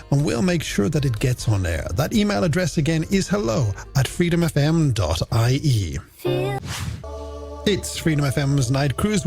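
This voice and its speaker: Animated Irish male late-night radio DJ. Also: on the high side, creaky, slightly rough. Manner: playful, theatrical, polished delivery. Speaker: late-night radio music show host. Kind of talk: radio DJ presenting, game segments and shout-outs. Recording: music bed under the voice. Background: music.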